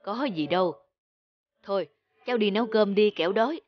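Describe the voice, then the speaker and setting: A woman voicing a kindly, humble elderly village neighbour in an animated folk tale, gentle and exclamatory. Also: medium pitch, brisk, highs cut off, a little hiss or hum, close to an everyday voice. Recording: music behind the voice.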